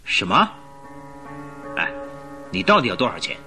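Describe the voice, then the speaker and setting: A haughty male tycoon in a radio play. His low voice is forceful and swoops with curt authority, with faint music behind him. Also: big pitch swings, moderate pace, exaggerated voice. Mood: indignant, haughty. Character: domineering, sturdy, lion-like male gold-mine tycoon. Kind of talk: radio drama acting.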